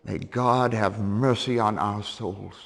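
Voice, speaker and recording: An actor playing a solemn scientist who gives a measured, grave warning speech to an audience in a video game cutscene. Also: male voice, lowish voice, fairly even intonation, vocal fry, full character voice. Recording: long echoing hall reverb.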